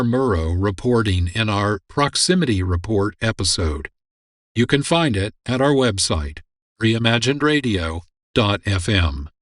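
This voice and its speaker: A man's voice: medium pitch, fairly bright tone, dry recording, studio quality. A man hosting a radio program with scripted narration. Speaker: producer and host.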